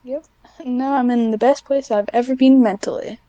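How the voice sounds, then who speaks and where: A woman's voice: fairly high pitch, steady tone, normal speed, warm tone, no echo. A woman chatting casually as an interview guest, informal and self-deprecating. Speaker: podcast guest, amateur script writer.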